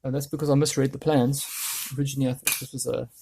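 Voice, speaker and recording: A man with a gravelly voice explaining a woodworking job casually, thinking aloud as he works. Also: medium pitch, level tone, fast, noisy background. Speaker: DIY woodworking video host. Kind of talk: casual hands-on tutorial talk.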